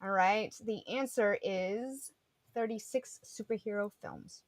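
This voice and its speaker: A playful, excitable woman co-hosting a casual podcast and putting questions to the panel. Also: mid-range voice, slow, dry recording. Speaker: show co-host and quizmaster.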